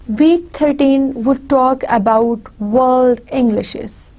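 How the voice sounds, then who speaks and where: Slow, mellow Pakistani woman lecturing. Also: fairly high pitch, poor audio. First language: Punjabi. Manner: deliberate, repeats and restarts phrases. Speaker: university lecturer. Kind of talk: recorded lecture.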